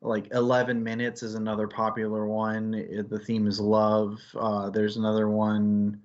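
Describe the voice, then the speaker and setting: A man's voice: lowish voice, dull audio. A man co-hosting a casual book discussion, talking in a rambling, conversational way peppered with filler phrases. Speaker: book club show co-host.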